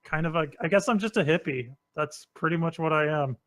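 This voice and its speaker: A man from Northern California, laid-back and hesitant, with many pauses and ums. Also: a little high, a bit bright. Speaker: player.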